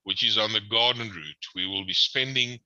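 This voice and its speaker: A man's voice: fairly low pitch, moderate pace, pushed and bright, no room sound, muffled recording. A man from South Africa with an Afrikaans accent, presenting a tour in a webinar, hesitant with many ums. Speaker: tour operator guest.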